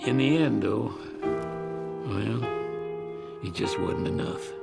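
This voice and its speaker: An actor playing a gruff, plain-spoken older man with a gravelly, folksy delivery in a video game cutscene. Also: on the low side, slow pace, heavily acted. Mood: wistful, blunt. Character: gruff old mechanic, drawling, plain-spoken old friend. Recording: music under the voice. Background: loud background music.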